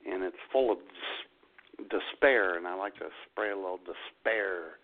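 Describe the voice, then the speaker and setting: A man's voice: deep voice, a little slow. A man co-hosting a radio show with droll, casual comedic banter. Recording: tinny phone-line sound.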